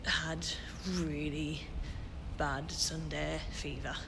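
A woman's voice: little pitch movement, extremely slow, vocal fry. Young woman vlogging over background noise. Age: 20s. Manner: chatty, informal, talking while out and about. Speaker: vlogger and pantomime performer. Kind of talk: casual vlog chat to camera. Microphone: phone or camera at arm's length. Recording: handheld vlog, background ambience and traffic rumble.

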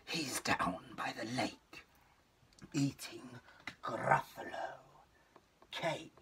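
A man voicing a sly storybook snake in a breathy whisper. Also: mid-range voice, extremely slow, bright, forceful tone, pitched below the performer's own voice, full character voice.

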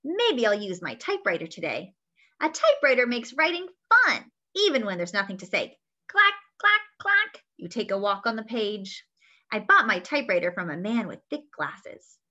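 Young woman, high-pitched, very lively intonation, children's read-aloud, expressive storytelling, slightly dull lo-fi webcam recording. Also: normal speed. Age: young adult. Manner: animated, sing-song storytelling with expressive character lines. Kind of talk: read-aloud of a picture book with asides to young listeners. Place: small indoor room at home.

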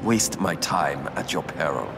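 An actor playing an imperious, cold, threatening supervillain commander in a video game. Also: male voice, extremely low pitch, expressive, a little slow, creaky, music in the background, full character voice. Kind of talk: cinematic game voice acting.

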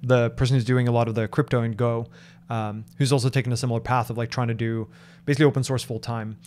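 A man in his thirties from Norway talks through viewer questions on a live stream, pausing and restarting as he thinks aloud. His voice sits at an ordinary middle pitch. He speaks into a desk microphone in a home office with a faint hum behind him. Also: little pitch movement, darkish tone. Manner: thoughtful, hesitant with many fillers and restarts. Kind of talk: casual live Q&A. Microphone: large microphone on desk in front.

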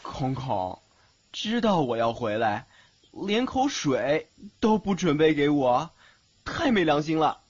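A man's voice: on the high side, sing-song, slow pace, breathy edge, full character voice. A young man playing a cheeky, lovestruck college student in an audio drama, boyish and earnest. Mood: playful. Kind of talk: Chinese audio drama acting. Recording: muffled lo-fi, faint noise.

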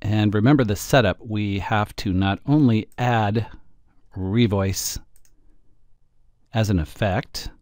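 A man giving an informal, wry screencast tutorial, thinking aloud as he demonstrates audio software. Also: on the low side, slow pace, long pauses, no echo, clean studio sound. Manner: informal, wry, self-deprecating. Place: indoor close-miked voice-over, dry.

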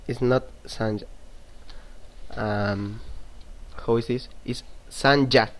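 A man's voice: mid-range voice, steady tone, drawn-out words. Brief: young Argentine guy, webcam vlogger, crude self-mocking banter, desk mic. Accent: Argentine Spanish. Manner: swears freely, self-mocking, jokey. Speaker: YouTuber. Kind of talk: casual webcam vlog commentary. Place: small room indoors at a desk. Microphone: computer webcam mic.

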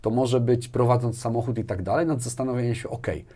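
A man's voice: medium pitch, fairly even intonation, quick pace, dark tone. Man in conversational interview, rambling. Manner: rambling, repeats words, often ends phrases with a questioning tag. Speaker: interview guest, corporate manager. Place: small furnished studio, indoors. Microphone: lapel mic.

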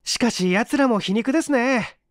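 男性が演じる高めの声の勇敢な青年. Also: male voice, extremely high pitch, normal speed, no room sound, clean studio sound, pitched above the performer's own voice. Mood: bright, explaining. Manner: forceful, confident, explanatory. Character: brave, sharp-witted young male hero. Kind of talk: dramatic storybook reading.

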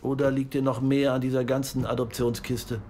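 Very deep-voiced, blunt woman. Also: flat delivery, brisk, dark tone. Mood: skeptical, brisk. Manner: blunt, probing. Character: blunt, impatient, skeptical woman questioning a storyteller. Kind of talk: naturalistic German radio drama acting. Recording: faint background noise.

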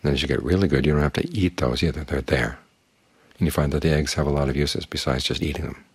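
Very deep, husky-voiced older man giving a talk. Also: quick pace, no echo. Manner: calm, plain-spoken, uses homely images. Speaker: Buddhist monk teacher. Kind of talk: evening dharma talk.

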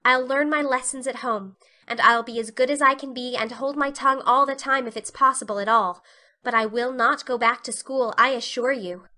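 A woman's voice: fairly high pitch, fairly even intonation, normal speed, pushed and bright. A woman voicing a proud, stubborn young schoolgirl with an earnest, melodramatic manner in an audiobook. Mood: wounded stubbornness. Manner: earnest, dramatic. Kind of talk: audiobook character dialogue.

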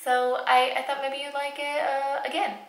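A woman's voice: high-pitched, little pitch movement, normal speed, roomy sound. A young woman in her twenties chatting playfully to the camera in a casual vlog while cooking in her apartment kitchen. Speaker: vlogger. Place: small apartment, living room and kitchen. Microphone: handheld camera at arm's length.

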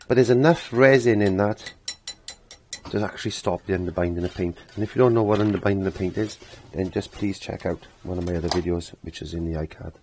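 A middle-aged man with a croaky voice giving a casual step-by-step painting lesson, rambling as if to himself and repeating his phrases. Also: low-pitched, fairly quick, darkish tone, a little hiss or hum. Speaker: painting instructor. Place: indoor home art studio.

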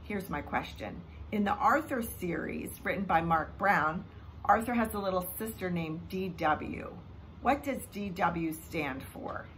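A woman's voice: on the low side, a little slow, a bit bright. A woman in her fifties presenting a trivia question as a librarian, recorded at home. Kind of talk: quiz presentation to camera. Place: room at home by fireplace. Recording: noisy room recording.

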